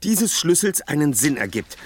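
A man's voice: fairly high pitch, big pitch swings, normal speed, slightly rough, dry recording. An actor playing a clever, methodical young male detective in a German audio drama, explaining things precisely. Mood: analytical, deliberate.

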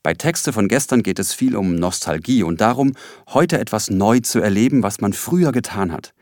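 A man presents a scripted podcast announcement as host. His mid-range voice is animated and recorded dry. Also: fairly low pitch, normal speed, dry recording.

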